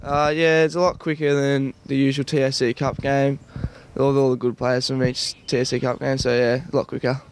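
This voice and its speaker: A young man with an Australian accent, an athlete giving plain, halting answers in a casual interview. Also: mid-range voice, level tone, clean tone. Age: young adult. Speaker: junior Australian rules footballer.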